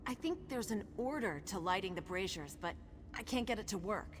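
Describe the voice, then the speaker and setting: A woman's voice: medium pitch, animated, moderate pace, background noise, close to an everyday voice. A woman voicing a determined, impatient orc chieftain's daughter in a video game, speaking tersely and thinking aloud. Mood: focused, musing. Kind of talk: video game character dialogue. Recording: long reverb, loud game music behind.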